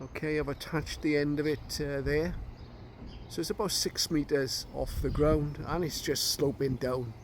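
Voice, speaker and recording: A man giving an informal, unscripted hands-on demonstration as a radio hobbyist, explaining step by step in a halting way, recorded on a phone. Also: a little high, fairly even intonation, slight background noise.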